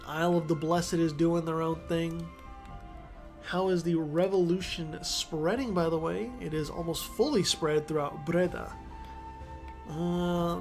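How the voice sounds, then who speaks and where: Chatty congested man over soft music. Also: high voice. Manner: chatty, rambling, self-correcting. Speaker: game commentator. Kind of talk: casual strategy game commentary.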